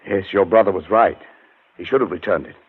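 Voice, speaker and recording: An actor playing a haunted scholar who narrates his own tale in a grave, measured 1940s radio drama style. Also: sits low, slightly rough. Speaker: narrator and lead character. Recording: tinny old radio broadcast.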